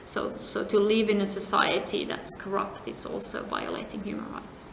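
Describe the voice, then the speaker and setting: Middle-aged woman from Finland, measured interview answers, slightly gravelly tone, recorded in an echoey room. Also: medium pitch, level tone. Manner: measured, frequent "uh" pauses, trailing off to a near whisper. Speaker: interviewee, compliance advisor. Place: seminar venue. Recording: echoey room, faint noise.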